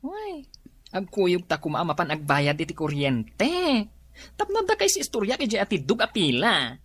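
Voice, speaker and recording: Nosy, singsong Ilocano neighbor. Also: female voice, lowish voice, wildly swooping pitch, normal speed, husky, dry recording, full character voice. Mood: friendly, prying. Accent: Filipino (Ilocano). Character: chummy, nosy female neighbor friend. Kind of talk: Ilocano comedy radio drama acting.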